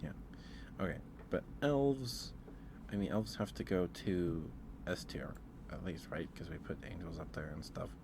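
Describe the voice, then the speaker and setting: A man's voice: low-pitched, animated, a little slow, background noise. A man hosting a casual ASMR livestream, talking in a rambling, hesitant way and often repeating his phrases. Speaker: livestream host.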